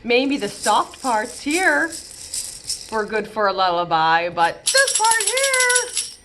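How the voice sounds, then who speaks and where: A woman's voice: a little high, very animated, slow, pushed and bright, soft background music. A woman hosting a storytime, talking playfully and animatedly to young children as a children's librarian would. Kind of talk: children's storytime read-aloud and chat.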